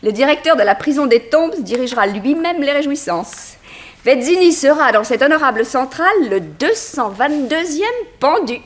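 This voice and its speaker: A woman's voice: high-pitched, lively intonation, moderate pace. An actress playing a vivacious, worldly woman journalist, playful and breezy, in a 1960s French radio drama. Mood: eager.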